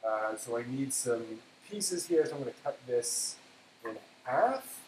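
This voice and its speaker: A man's voice: medium pitch, lively intonation, unhurried, darkish tone. A man hosting a casual, unscripted cooking live stream, thinking aloud in a hesitant way and trailing off as he works. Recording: roomy echo, background noise.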